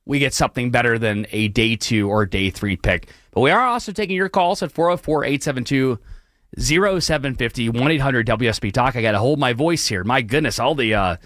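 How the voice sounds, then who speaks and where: A hoarse young man hosting a late-night talk radio show, apologizing and clearing his throat as his voice gives out. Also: medium pitch, animated, brisk, fairly bright tone, no echo, clean studio sound. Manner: apologetic, clears throat often, many restarts. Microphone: studio broadcast mic.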